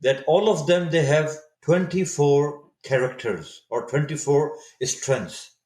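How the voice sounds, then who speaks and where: A man in his fifties from Saudi Arabia, a native Urdu speaker, telling his story as a podcast guest, thoughtful and often pausing to restart his words. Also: on the high side, a little mellow. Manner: reflective, searching for words with frequent restarts. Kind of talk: casual interview storytelling. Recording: echoey room sound.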